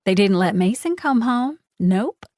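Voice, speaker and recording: A woman's voice: mid-range voice, lively intonation, darkish tone, no room sound, studio quality, close to an everyday voice. A woman voicing a sweetly vicious Southern woman with a honey-coated drawl, cooing and mocking. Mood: taunting. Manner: syrupy, taunting, drawn-out words.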